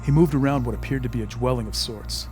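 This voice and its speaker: A man's voice: medium pitch, fast, darkish tone. A man narrating a scripted audio drama as its storyteller. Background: background music.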